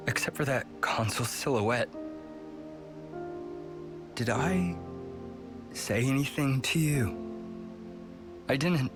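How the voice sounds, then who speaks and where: Guy acting soft remorseful army commander, game cutscene, slow and halting, music playing behind. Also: mid-range voice, close to an everyday voice. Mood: remorseful, uneasy. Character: gentle, remorseful male military commander. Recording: music under the voice.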